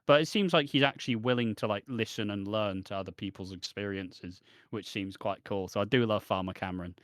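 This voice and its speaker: A man's voice: lowish voice, brisk, a bit bright, no room sound, studio quality. A British man co-hosting a podcast in casual chat, rambling and opinionated with frequent restarts. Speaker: podcast co-host.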